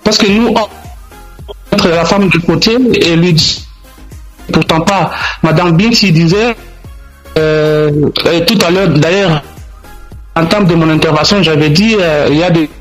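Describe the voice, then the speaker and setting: High-voiced, halting man. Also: soft background music. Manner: halting, searching for words. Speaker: radio guest. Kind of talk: answering questions in a radio discussion.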